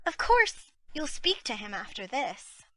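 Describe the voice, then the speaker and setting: A woman's voice: sits high, sing-song, measured pace, a bit bright, heavily acted. A woman playing a dreamy, romantic young girl who gushes, in an audiobook drama. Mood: dreamy awe. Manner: gushing. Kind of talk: audiobook character acting.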